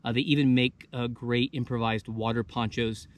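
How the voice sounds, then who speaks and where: A man's voice: mid-range voice, fairly even intonation, moderate pace. A man in his thirties talking casually to viewers as the host of an outdoor video channel, out in the open. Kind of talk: casual freestyle vlog talk to viewers. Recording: noisy outdoor field recording.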